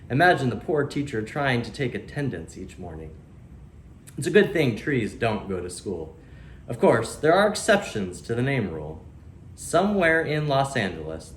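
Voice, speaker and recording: A man's voice: medium pitch, close to an everyday voice. Guy reading as a chatty proud oak tree from a kids book, wry n friendly, very up and down, roomy echo. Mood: wry, amused. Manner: chatty, dryly humorous, emphatic with deliberate pauses. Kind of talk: children's storybook read-aloud. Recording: roomy echo, faint background noise.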